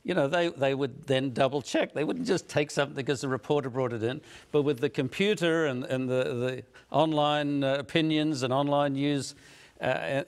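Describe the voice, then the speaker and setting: Middle-aged male host, fairly high pitch, measured delivery that hesitates and chuckles. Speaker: host, magazine editor. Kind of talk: studio talk show discussion.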